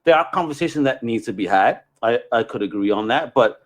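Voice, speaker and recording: A man hosting a podcast, chatting casually in an expressive, informal, slangy way. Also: mid-range voice, brisk. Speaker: podcast host. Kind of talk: casual chat.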